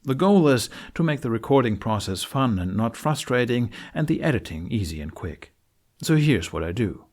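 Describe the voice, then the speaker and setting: A man narrating a how-to tutorial, explaining things step by step with deliberate phrasing and pauses. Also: mid-range voice, big pitch swings, darkish tone. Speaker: tutorial host. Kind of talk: instructional narration for a how-to video.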